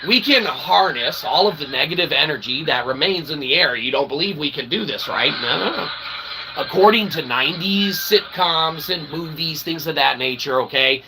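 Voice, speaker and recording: Male livestream host, somewhat high voice with gravelly fry, tongue-in-cheek and long-winded, pseudo-formal wording, clear background noise. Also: animated, normal speed. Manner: verbose, pseudo-formal wordy phrasing with frequent tag questions; tongue-in-cheek mock philosophizing. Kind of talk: rambling casual monologue to live viewers.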